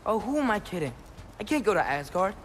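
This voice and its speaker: A man's voice: high-pitched, fast, a little hiss or hum. A young man playing an anxious, caring teenage boy in a Norse fantasy video game, earnest and often talking to himself. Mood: worried, musing. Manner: expressive, talks to himself, earnest. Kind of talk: video game cutscene acting. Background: game music.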